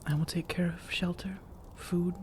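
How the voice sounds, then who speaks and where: An elegant vampire woman, acted by a woman, speaks in a mellow, soft, breathy voice that often sinks to a whisper. Her phrasing is measured, formal and coaxing, in the manner of an ASMR roleplay. A faint noisy ambience sits behind her. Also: fairly low pitch, level tone, close to an everyday voice. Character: elegant, persuasive, long-lived female vampire seeking a roommate.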